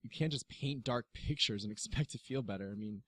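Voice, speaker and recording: Supportive young buddy male actor, soap drama: earnest, frank, coaxing, medium pitch. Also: fairly quick, a bit bright, husky. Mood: earnest, gently teasing. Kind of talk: scripted audio soap drama acting.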